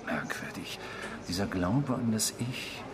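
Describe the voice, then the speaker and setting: An actor in a radio drama playing a sardonic, tormenting inner voice, with a husky, mocking and theatrical delivery. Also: male voice, on the low side, lively intonation, slow pace, noisy background. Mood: musing, mocking. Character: sardonic tormenting inner voice claiming to be a man's destructive self.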